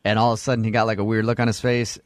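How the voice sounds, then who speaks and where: A man's voice: fairly low pitch, level tone, racing. A man hosting a radio show with casual, joking morning banter. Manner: joking, teasing.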